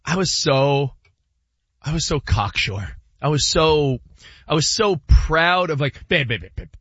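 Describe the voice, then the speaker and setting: Animated rambling man on sports radio. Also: on the high side, a little slow, slightly rough, dry recording, dull audio. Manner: animated, rambling with many restarts and fillers. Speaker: radio sports show co-host. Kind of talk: casual sports radio banter.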